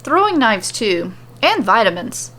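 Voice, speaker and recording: A woman's voice: a little high, sing-song, a little slow, pushed and bright, exaggerated voice. A woman voicing a curt, sardonic woman crossbow fighter in a fantasy story, with a dry manner.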